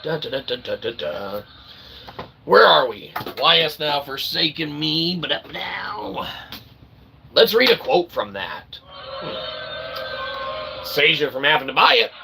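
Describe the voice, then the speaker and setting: A man's voice: fairly high pitch, lively intonation, takes it slowly, vocal fry, pauses often, noisy background. A man hosting his own channel in a rambling, self-promotional monologue, sarcastic and self-mocking, who keeps asking "okay?". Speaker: independent channel host.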